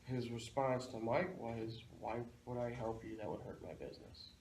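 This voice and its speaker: A man's voice: medium pitch, fairly even intonation, moderate pace, darkish tone. A man talking casually and informally as a co-host, in a loose, rambling way. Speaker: show co-host and comics seller. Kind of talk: casual chat. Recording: echoey room, constant noise.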